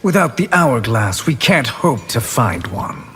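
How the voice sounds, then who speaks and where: Slow, haughty sorcerer in deep reverb. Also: male voice, very animated, pushed and bright, husky, exaggerated voice. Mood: calculating. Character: cunning, haughty male sorcerer conspirator. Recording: long reverb, faint noise.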